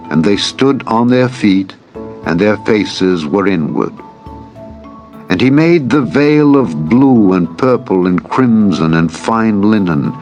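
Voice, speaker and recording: Low-voiced man narrating scripture over music. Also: a little mellow. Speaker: audiobook Bible narrator. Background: music bed.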